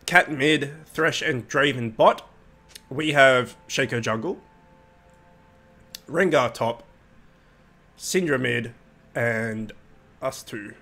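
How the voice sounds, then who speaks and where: A young man hosting a casual gaming video, sounding nasal from a cold, chatty and relaxed with lots of fillers. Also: on the high side. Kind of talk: casual gameplay commentary.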